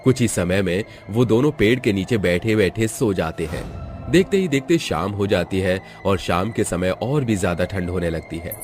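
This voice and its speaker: A man's voice: sits low, lively intonation, normal speed, darkish tone, soft background music. A man reading a scripted moral story as its narrator.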